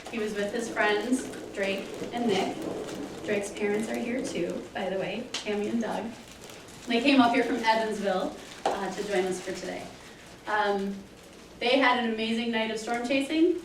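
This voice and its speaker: A woman projects a big teacher voice across a crowd of volunteers. She is recorded from a distance in a very echoey hall, with clear background noise. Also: mid-range voice, normal speed. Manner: loud teacher-style projecting voice. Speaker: mother organizing volunteers.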